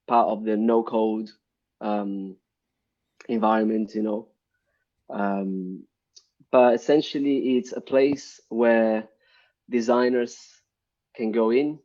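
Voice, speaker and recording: A man with a low, warm voice, heard through dull lo-fi stream audio. He is from Brazil and talks as a guest on a live stream, full of ums and self-corrections. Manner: hesitant, many ums and restarts. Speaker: guest, UI developer. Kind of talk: casual live-stream interview.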